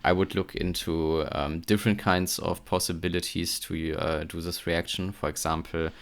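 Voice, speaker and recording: Low, gravelly German-accented man. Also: flat delivery, normal speed. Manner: hesitant, many fillers and restarts. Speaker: podcast guest, chemistry PhD. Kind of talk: casual interview chat.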